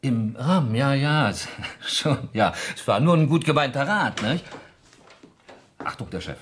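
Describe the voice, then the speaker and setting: An actor playing a patronising provincial police sergeant in a 1970s radio crime drama, with a familiar, teasing manner. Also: male voice, medium pitch, expressive, unhurried, a little hiss or hum, close to an everyday voice. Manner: familiar, mocking advice.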